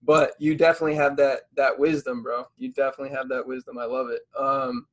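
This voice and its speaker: A man's voice: medium pitch, level tone, fast talker. A man in his early twenties with an American accent, hosting a casual interview in slangy, informal phrasing. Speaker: podcast host.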